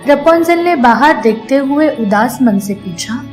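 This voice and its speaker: A woman narrating a scripted children's fairy tale in a measured storytelling manner. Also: high-pitched, lively intonation, darkish tone, faint music behind.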